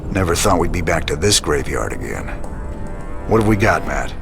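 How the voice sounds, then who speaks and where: An actor playing a weary, gruff rebel leader in a video game cutscene, with a folksy Southern American drawl. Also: male voice, extremely low pitch, big pitch swings, moderate pace, music in the background, exaggerated voice. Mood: wry. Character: weary, gruff rebel leader, middle-aged male ex-marshal. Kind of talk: cinematic game voice acting.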